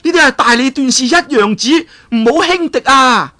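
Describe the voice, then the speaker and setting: Guy putting on a young lady yelling a warning, way high pitched. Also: expressive, normal speed, heavily acted. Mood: alarmed. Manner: calling out loudly. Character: young woman, urgent and anxious.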